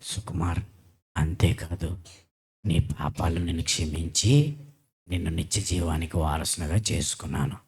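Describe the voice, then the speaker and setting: A man playing a gentle, fatherly, forgiving Jesus, speaking solemnly in a children's church puppet show. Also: rumbling low, flat delivery, normal speed, gravelly, pauses often, breathy edge, exaggerated voice.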